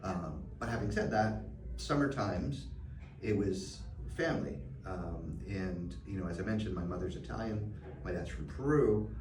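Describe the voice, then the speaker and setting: Reflective middle-aged man, lowish voice. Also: normal speed. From Canada. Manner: reflective, many fillers and restarts. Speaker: guest. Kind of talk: conversational interview answers. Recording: roomy, distant mic, background noise.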